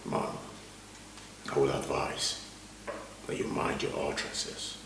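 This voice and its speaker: Firm formal professional: bottomless low voice, measured cautioning, film drama, distant mic. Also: male voice, completely flat delivery, background noise, heavily acted. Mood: stern, dutiful. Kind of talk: film melodrama acting. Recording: very echoey room, distant, music behind.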